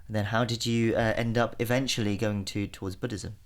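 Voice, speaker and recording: Low-voiced male radio interviewer. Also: steady tone. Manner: measured, with frequent "uh" fillers and short "mm" acknowledgements.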